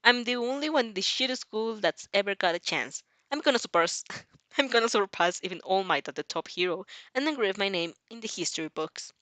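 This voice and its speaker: A woman's voice: medium pitch, lively intonation, brisk, fairly bright tone, no echo, close to an everyday voice. A woman voicing an arrogant, bitter teenage schoolboy who sneers and boasts in an amateur audio fanfic. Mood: boastful. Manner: sneering, boastful, foul-mouthed.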